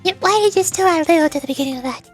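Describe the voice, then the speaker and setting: Sweet, fussy little girl teacher, very high and hesitant, for an anime game played by a woman. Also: racing, faint music behind. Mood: polite, slightly miffed. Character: earnest, flustered little schoolteacher girl, sweet and polite.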